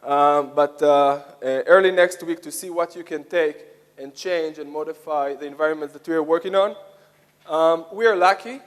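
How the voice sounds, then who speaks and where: A man with an Israeli accent giving a conference presentation, speaking haltingly with frequent hesitations and repeated phrases. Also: on the high side. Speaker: conference speaker, software product lead. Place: conference hall, speaking to an audience. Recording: echoey hall with long reverb.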